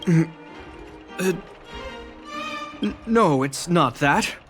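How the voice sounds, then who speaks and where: A man's voice: fairly high pitch, lively intonation, very slow, fairly bright tone, soft background music, heavily acted. A man playing a dutiful younger-brother guard captain in a video game, speaking in a formal, reserved and sincere way. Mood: awkward, sincere.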